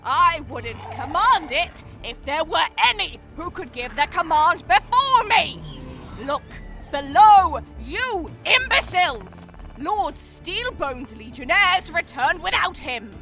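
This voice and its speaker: A woman playing a wrathful, imperious dark sorceress who hisses scornful commands in a fantasy audio story. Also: very high voice, expressive, slow pace, soft background music, heavily acted.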